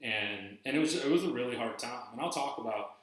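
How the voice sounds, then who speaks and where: A man telling a personal story in a casual, rambling way, circling back and restarting his sentences. His voice sits at an average pitch. It is recorded in a very echoey room. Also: expressive, a little fast. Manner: rambling, many restarts and fillers. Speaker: podcast host.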